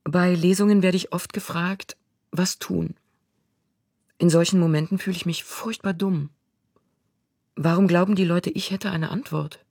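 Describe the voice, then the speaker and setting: A reflective voice reads literary prose slowly and quietly. The tone is slightly breathy, and the recording is dry and close to the mic. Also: medium pitch, no room sound. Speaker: voice-over reader. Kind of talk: literary reading of prose excerpts.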